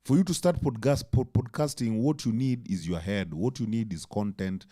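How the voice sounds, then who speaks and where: A man with a big voice, co-hosting a casual podcast, animated and swearing freely. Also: mid-range voice, moderate pace. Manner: animated, swears freely, slips into Swahili words. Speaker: podcast co-host.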